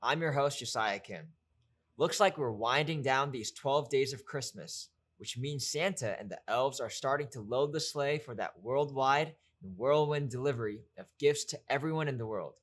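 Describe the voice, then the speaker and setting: A man's voice: medium pitch, normal speed, fairly bright tone. A man hosting a show, reading a scripted introduction with a lightly joking manner. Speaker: podcast host.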